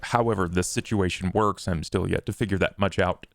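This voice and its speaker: A man with a low voice full of fry plays a tabletop roleplaying game. His wording is formal and measured, in character as a planner giving instructions. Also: fairly even intonation, fairly bright tone, no room sound.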